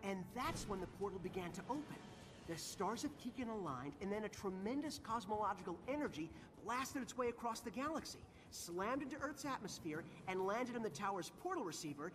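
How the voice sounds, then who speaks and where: An excitable young turtle inventor with a high, animated voice. He explains everything precisely and at length in a game cutscene, music playing behind him. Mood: excited. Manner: precise, wordy, enthusiastic explaining.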